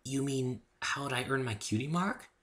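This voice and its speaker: A man voicing a shy, gentle young female winged pony, timid and hesitant, in a story reading. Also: mid-range voice, normal speed, voice pushed up, natural voice. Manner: timid, hesitant, soft-spoken.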